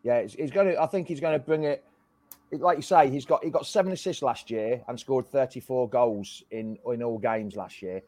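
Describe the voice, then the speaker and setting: Hoarse man hosting a chat. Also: medium pitch, moderate pace, darkish tone, no room sound. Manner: chatty, greets each guest by name. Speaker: host. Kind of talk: casual chat on a video call.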